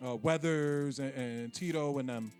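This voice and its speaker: A man's voice: mid-range voice, a little slow, darkish tone, faint music behind. A man hosting a casual radio interview, laid-back and slangy with lots of fillers. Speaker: radio show host.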